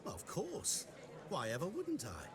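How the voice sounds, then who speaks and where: An actor playing a sly, all-knowing mysterious male stranger in a game cutscene, talking in a smooth, theatrical, mocking way. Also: fairly high pitch, huge pitch swings, a little slow, a crowd in the background. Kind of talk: video game cutscene acting.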